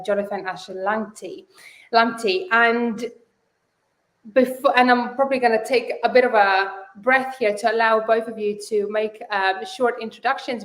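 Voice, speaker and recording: A woman's voice: mid-range voice, reverberant, poor audio. A woman hosting a live online panel, welcoming viewers and framing the topic with many fillers and restarts. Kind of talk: live webinar moderation.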